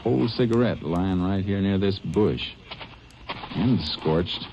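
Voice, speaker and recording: An actor playing a steady, methodical Texas Ranger detective in an old radio drama, speaking calm and low. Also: male voice, takes it slowly, noisy background. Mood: level-headed. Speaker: lead actor. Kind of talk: 1950s radio drama acting. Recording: muffled old radio recording.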